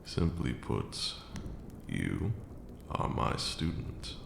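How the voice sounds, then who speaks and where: An extremely deep, heavily creaking voice of an ancient vampire lord acted by a man, slow and formal. He is a stern but caring mentor in an intimate ASMR roleplay. The sound is echoey, with clear background noise. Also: big pitch swings, exaggerated voice. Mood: solemn, consoling. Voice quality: gravelly, very deep. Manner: formal, archaic, measured phrasing. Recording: roomy echo with audible background noise.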